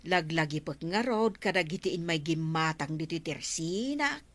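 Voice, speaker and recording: A woman's voice: deep voice, lively intonation, moderate pace. A woman playing a forgetful, flustered village storekeeper in an Ilocano radio comedy drama, hesitant and drawn out, with a Filipino Ilocano accent. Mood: recalling. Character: forgetful, flustered female village storekeeper who miscounts change.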